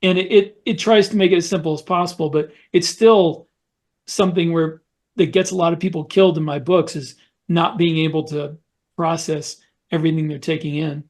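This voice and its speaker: A man with a somewhat high-pitched voice in a podcast discussion. He speaks casually and conversationally as one of the co-hosts. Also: fairly even intonation, moderate pace. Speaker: podcast co-host and author.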